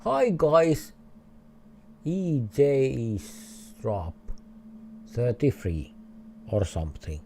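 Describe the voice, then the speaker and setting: A man's voice: medium pitch, very animated, drawn-out words, vocal fry, long pauses, warm tone, a little hiss or hum. A man with a Finnish accent, streaming a geography game and thinking aloud in hesitant, chuckling English. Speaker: livestreamer. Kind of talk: casual game livestream commentary.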